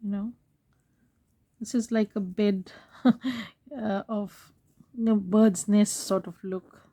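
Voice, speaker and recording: A woman hosting a craft tutorial, talking casually while she works, her voice hoarse and fading, and she keeps clearing her throat. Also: mid-range voice, steady tone. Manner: soft, unhurried, frequent throat clearing. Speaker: craft channel host.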